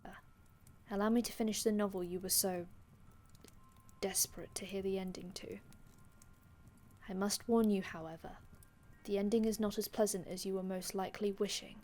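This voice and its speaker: A woman's voice: medium pitch, fairly even intonation, normal speed, pauses often, a little mellow, a little hiss or hum, lightly acted. A woman playing a courteous, ancient vampire healer in an ASMR roleplay, speaking formally and reassuringly with archaic phrasing and small stammers. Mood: solemn storytelling. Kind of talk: ASMR roleplay, addressing the listener.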